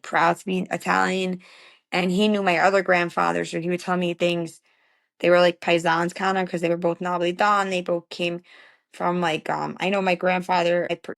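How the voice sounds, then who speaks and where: A woman's voice: fairly low pitch, gravelly. A young woman from the Bronx with a New York accent, rambling casually as an interview guest and filling her talk with "like". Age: young adult. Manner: rambling, many fillers like "like".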